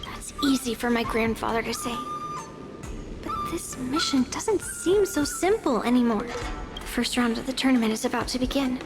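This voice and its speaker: High-voiced, confident young swordswoman. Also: huge pitch swings, moderate pace, music in the background. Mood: resolute. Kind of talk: video game voice acting.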